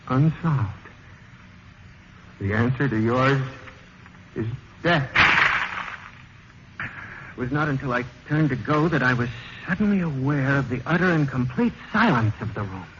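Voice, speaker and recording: A man's voice: medium pitch, lively intonation, measured pace, pauses often, slight background noise, lightly acted. An American actor playing a haunted, obsessive office computer man, narrating his own story in melodramatic 1950s radio drama style. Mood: grim, haunted. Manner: melodramatic, portentous delivery. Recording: muffled vintage 1950s radio recording. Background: dramatic music.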